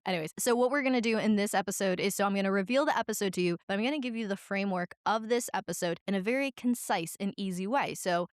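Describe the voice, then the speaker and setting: A woman's voice: mid-range voice, clean tone, dry recording. Woman in her twenties, podcast host, under the weather, voice on the edge of cracking, rambling and self-deprecating, dry close mic. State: sick, voice cracking. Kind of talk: solo podcast monologue.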